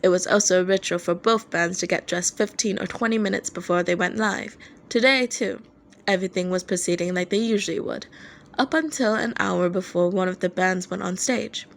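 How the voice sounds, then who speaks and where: A woman narrating a piece of fan fiction as an amateur audiobook reader, in an expressive storytelling style. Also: lowish voice, a little fast, gravelly.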